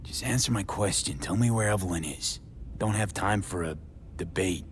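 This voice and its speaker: A man's voice: deep voice, moderate pace, a little hiss or hum, lightly acted. An actor playing a hardened, weary male mercenary in a game cutscene, speaking in terse, sardonic, clipped lines. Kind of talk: video game cutscene acting.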